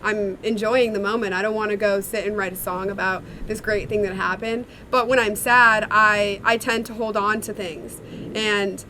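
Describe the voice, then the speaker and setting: A woman's voice: medium pitch, fairly even intonation, moderate pace, bright, forceful tone, slight background noise, rough recording. A young woman, a singer-songwriter, answering interview questions in a chatty, reflective way with many fillers. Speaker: songwriter and singer in a sister duo.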